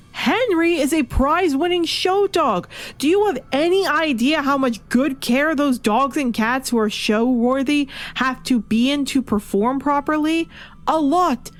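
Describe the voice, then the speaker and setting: A woman's voice: high-pitched, no echo. A woman reviewer delivering scripted video essay commentary in an opinionated, argumentative way. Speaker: comic book reviewer.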